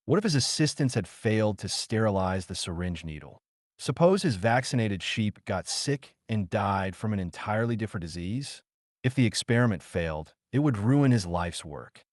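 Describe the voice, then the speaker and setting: A man with a fairly low voice narrates a scripted audiobook. His intonation moves up and down a great deal, with expressive storytelling and clear enunciation. The sound is close and dry. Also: moderate pace, dry recording. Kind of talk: scripted narration, reading a children's biography aloud.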